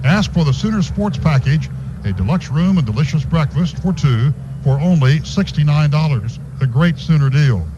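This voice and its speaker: A man's voice: on the high side, expressive, moderate pace, lo-fi sound, noisy background. A man calling football play-by-play and reading sponsor spots on a radio broadcast from a stadium booth. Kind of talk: live sports commentary with sponsor reads.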